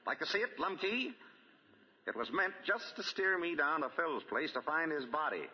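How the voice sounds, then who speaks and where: Dull old radio recording, shrewd detective, swooping intonation, husky voice, accusing, deliberate. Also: male voice, on the high side, animated, big-room sound. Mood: pointed, reasoning. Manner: probing, deliberate, accusing questioning. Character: shrewd, composed male amateur detective laying out a case. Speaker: radio drama actor. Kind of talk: 1940s radio drama acting. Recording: dull, lo-fi 1940 radio broadcast.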